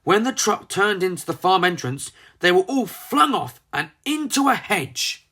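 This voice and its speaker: Brief: children's storybook narrator, medium pitch with wide swings, dramatic reading. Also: sing-song, measured pace, sharp tone.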